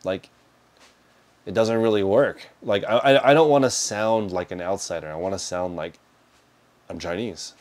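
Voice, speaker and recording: A man's voice: low voice, moderate pace, long pauses, a little mellow. A man, answering viewer questions in a casual, practical way, recorded on a clip-on lapel mic in an indoor storage room. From United States. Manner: practical, conversational, gestures with his hands while talking. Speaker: reselling business host answering viewer questions. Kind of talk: casual livestream Q&A. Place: indoor storage room lined with shelves of boxes.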